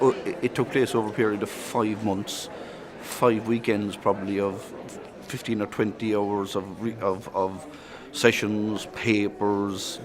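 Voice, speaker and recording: A man in his fifties with a Cork accent, speaking deliberately with hesitations. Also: lowish voice. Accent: Cork Irish. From Cork, Ireland. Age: 50s. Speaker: citizens' assembly member.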